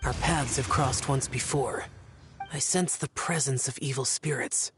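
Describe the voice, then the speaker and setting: A man's voice: mid-range voice, unhurried, a bit bright, soft background music, close to an everyday voice. A man voicing an aloof, solemn young-looking immortal guardian in a video game, speaking in a grave, formal, terse way. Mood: grave, detached.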